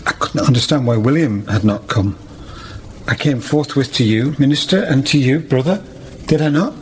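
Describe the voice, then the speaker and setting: An actor playing a pious, wrongly accused weaver in a period radio drama, speaking in halting, formal, old-fashioned phrases. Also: male voice, mid-range voice, a little mellow, a little hiss or hum, lightly acted. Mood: pleading, bewildered. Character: Silas Marner, earnest pious weaver, wounded and wrongly accused.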